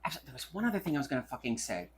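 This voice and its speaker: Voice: mid-range voice, very animated, racing. A podcast co-host talking in casual comedic banter, with a rambling, irreverent, slangy manner. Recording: faint air conditioner hum in background.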